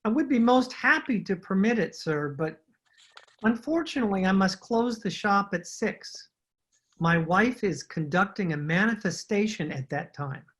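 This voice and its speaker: A woman's voice: mid-range voice, expressive, moderate pace, husky. A woman playing a thin, obsequious male rug merchant in a radio drama, speaking with formal, humble, ingratiating patter. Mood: politely evasive. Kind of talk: old-time radio drama acting.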